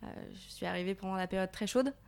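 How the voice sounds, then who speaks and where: Young woman interviewee, mid-pitched voice, careful explanations with fillers. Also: fast, creaky, darkish tone, clear, resonant tone. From Paris region, France. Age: 20s. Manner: thoughtful, explanatory, frequent fillers. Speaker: guest, volunteer. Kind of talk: interview answers.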